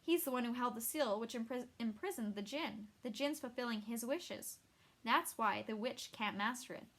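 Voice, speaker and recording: A woman voicing a learned older man who explains things in a measured way. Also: on the high side, natural voice. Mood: explaining.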